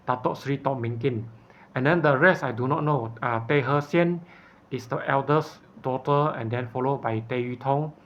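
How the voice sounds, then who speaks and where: A man from Malaysia, speaking in an earnest, halting way in an unscripted personal appeal, often stopping to search for words. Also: medium pitch, fairly bright tone, dull audio.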